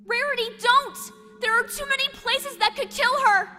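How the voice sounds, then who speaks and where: A woman's voice: extremely high pitch, normal speed, pushed and bright. A woman voicing anguished young cartoon pony captives, pleading desperately in a dramatic audio story. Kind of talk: dramatic fan fiction audio reading. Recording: long echoing reverb with music behind.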